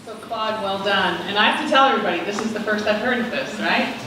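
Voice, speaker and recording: A woman on a panel responds warmly to a pitch and asks follow-up questions. She is heard from far off in a very echoey hall, with clear background noise underneath. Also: quick pace, a crowd in the background. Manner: friendly, encouraging questions. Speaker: panel judge giving feedback. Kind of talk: feedback after a pitch.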